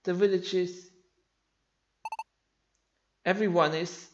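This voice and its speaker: A man's voice: high voice, steady tone, slow, lo-fi sound, noisy background. A man who is not a native English speaker, reading video game dialogue in character for a story playthrough. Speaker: gamer voicing a story playthrough. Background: video game music.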